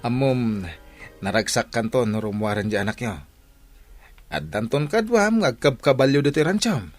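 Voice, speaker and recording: A man's voice: mid-range voice, sing-song, moderate pace, soft background music, lightly acted. A man acting a jovial, teasing friend who urges his pal along in a radio comedy drama. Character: jovial, teasing male friend urging marriage.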